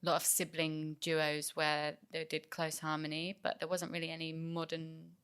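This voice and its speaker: A woman's voice: low voice, monotone, moderate pace, fairly bright tone, clear, resonant tone. A young woman musician from England chatting casually in an interview, with lots of fillers and restarts. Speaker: musician guest.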